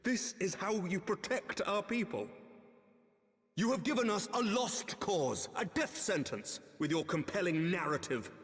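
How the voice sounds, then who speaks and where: Earnest young knight, echoing. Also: male voice, high voice, lively intonation, a bit bright, slightly rough, full character voice. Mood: accusing, impassioned. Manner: formal, grave, measured. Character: earnest, principled young nobleman knight opposing his father. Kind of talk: dramatic video game cutscene acting. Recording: long reverberant hall echo.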